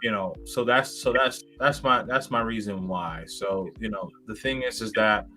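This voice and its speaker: Bright-voiced man in his thirties. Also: on the low side, normal speed. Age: 30s. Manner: rambling, many fillers and restarts. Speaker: interview guest. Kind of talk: casual interview chat.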